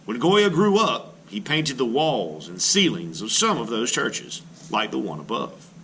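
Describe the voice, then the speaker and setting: A man's voice: mid-range voice, wildly swooping pitch, a little slow, a bit bright, slight background noise, low-quality recording. A man, an elementary art teacher, reads a picture book aloud to children with expression and breaks off now and then to add his own teacherly asides.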